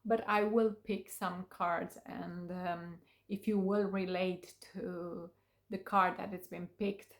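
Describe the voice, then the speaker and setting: A woman whose native language is Romanian, speaking English in an unhurried, casual way to her viewers and pausing often with fillers. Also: lowish voice, flat delivery. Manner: unhurried, with many fillers and pauses. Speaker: vlogger. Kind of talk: casual talk to camera.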